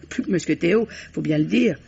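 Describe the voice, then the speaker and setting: A woman's voice: fairly low pitch, expressive, normal speed, creaky, mellow, husky, exaggerated voice. An actress playing a chatty, deferential female concierge with a colloquial manner in an old-fashioned radio mystery. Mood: gossipy, confiding. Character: chatty, eager female building concierge, respectful to police. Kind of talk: 1950s French radio mystery acting. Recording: dull, lo-fi vintage radio recording.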